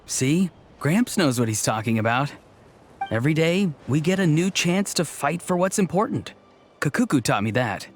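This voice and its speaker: A man's voice: fairly high pitch, sing-song, normal speed, slight background noise. A man playing an earnest, committed young adventurer in a video game, matter-of-fact and sincere. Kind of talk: animated video game acting. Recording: faint game music under the voice.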